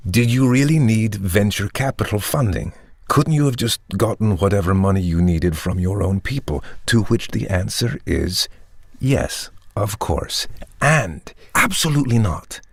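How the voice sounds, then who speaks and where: Polished vampire startup founder in audio drama: low-leaning gravelly voice, measured and articulate, forever rationalizing. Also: male voice, animated, dry recording, clean studio sound, full character voice. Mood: earnest, persuasive. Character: polished, self-justifying vampire tech entrepreneur. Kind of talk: theatrical monologue in the manner of a startup pitch.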